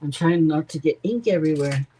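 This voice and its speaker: A woman's voice: sits low, a little fast, noisy background. A woman hosting a craft stream, chatting casually and mostly giving brief agreeing replies. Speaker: stream host. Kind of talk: casual chat while crafting.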